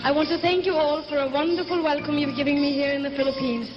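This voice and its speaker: Woman from iceland introducing herself on stage at a pageant, high voice, polite and eager. dull old broadcast sound with music playing. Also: steady tone, fast talker. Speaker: pageant contestant. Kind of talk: brief stage self-introduction.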